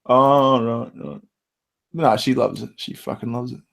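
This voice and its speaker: A man's voice: medium pitch, steady tone, a little slow, creaky, fairly bright tone. A man with an Australian accent hosting a casual online chat, swearing freely and laughing often. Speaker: podcast host.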